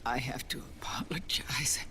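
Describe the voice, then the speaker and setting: An actress playing an anxious female ally who speaks softly and apologetically. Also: a bass voice, unhurried, in a whisper. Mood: urgent. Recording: noisy game audio mix.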